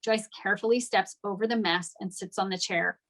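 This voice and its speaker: A woman with a medium-pitched voice narrates stage directions. She reads from a script in the plain manner of a table read. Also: fairly even intonation, a little fast, a bit bright.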